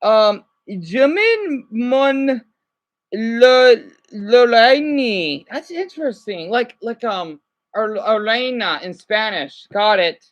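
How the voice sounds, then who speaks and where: A man's voice: very high voice, lively intonation, takes it slowly. A man making a casual reaction vlog who chats along and stumbles over foreign words. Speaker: vlogger reacting to a video.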